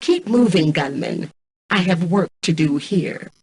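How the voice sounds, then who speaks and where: A woman voicing a cold, arrogant mainframe intelligence in a video game, speaking in a formal, measured, commanding way. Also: on the low side, expressive, slightly rough, full character voice. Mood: calm, superior. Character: cold, arrogant female mainframe artificial intelligence, calculating and imperious. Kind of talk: scripted video game voice acting.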